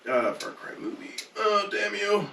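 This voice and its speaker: A man's voice: high voice, lively intonation, unhurried, vocal fry, pushed and bright. A man co-hosting a podcast in joking, profane casual chat. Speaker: podcast co-host. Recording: echoey room, distant mic, faint noise.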